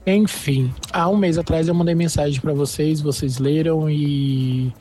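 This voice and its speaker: A man from sends a voice message to a radio show. His voice sits a little high but sounds mellow. He tells his story in a chatty, confiding, expressive way. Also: unhurried, slight background noise. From Brazil. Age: 20s. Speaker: listener sending a voice message. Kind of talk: recorded voice message.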